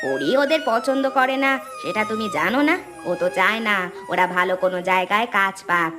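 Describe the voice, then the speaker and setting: A woman's voice: mid-range voice, huge pitch swings, brisk, over music. A woman voicing a moneylender's ailing, demanding wife in a cartoon story, with a complaining, insistent manner. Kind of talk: Bengali cartoon story dubbing.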